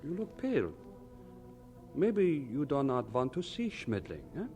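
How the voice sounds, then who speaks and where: An actor playing a kindly older German doctor in an audio drama, jovial and fussing, with a German accent and stilted phrasing. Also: male voice, medium pitch, wildly swooping pitch, unhurried, dark tone, faint music behind. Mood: concerned, fatherly.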